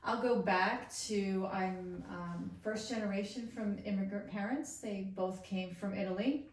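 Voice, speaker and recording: A woman's voice: mid-range voice, steady tone, unhurried. A woman from Long Island, New York, telling personal stories casually and emphatically as an interview guest. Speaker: podcast guest, lawyer. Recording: echoey room, distant from the mic.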